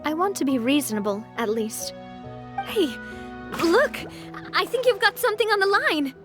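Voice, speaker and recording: An actress playing a warm-hearted young lady boss in a video game, earnest, gentle and expressive. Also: extremely high pitch, moderate pace. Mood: excited, urgent. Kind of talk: animated video game voice acting. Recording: soft game music behind the voice.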